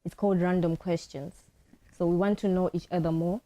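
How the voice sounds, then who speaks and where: A woman's voice: on the low side, fairly even intonation, brisk, warm tone, low-quality recording. A young woman hosting an informal, friendly group chat. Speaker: talk show host.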